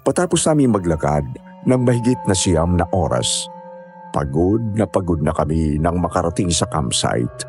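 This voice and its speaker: A man's voice: deep voice, sing-song, moderate pace, over music. A man narrating a scripted first-person horror story.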